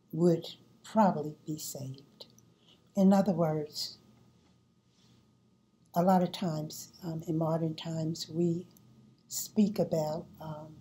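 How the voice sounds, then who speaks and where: An older woman giving a sermon from her home, speaking slowly and deliberately with frequent pauses and fillers, recorded on a close webcam. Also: lowish voice, warm tone. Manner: slow and deliberate, pausing often with fillers. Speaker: church speaker. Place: home room, indoors. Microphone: webcam at close range.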